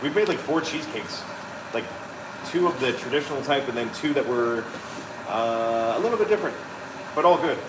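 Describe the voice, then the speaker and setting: A man's voice: mid-range voice, animated, moderate pace, reverberant, recorded from a distance, background noise. A man in his thirties chatting casually and playfully on a live stream, reading viewer comments aloud. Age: 30s. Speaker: co-host reading live chat.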